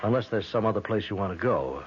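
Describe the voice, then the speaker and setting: Guy doing careful polite cop in old radio show, lowish voice, dull with faint noise. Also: lively intonation, fast talker, lightly acted. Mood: measured, probing. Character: tactful, official male police detective sergeant. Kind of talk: 1970s radio drama acting. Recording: muffled, faintly noisy radio broadcast.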